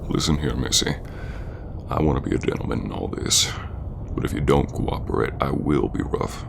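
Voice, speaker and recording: A man playing a stern, gentlemanly Old West bounty hunter with a gravelly voice and folksy talk, in an ASMR roleplay. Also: background noise, heavily acted. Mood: stern, threatening. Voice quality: very deep, gravelly. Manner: slow-burning, stern gentlemanly drawling talk with folksy grammar. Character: gruff, principled Old West bounty hunter, male, wounded ex-lover. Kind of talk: ASMR roleplay acting addressed to the listener.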